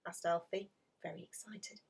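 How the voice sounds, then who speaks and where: A woman reading a children's picture story aloud in an expressive, dramatic storytelling manner. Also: lowish voice, normal speed, creaky, breathy edge, distant mic. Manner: animated, dramatic emphasis with hushed passages. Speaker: storybook reader.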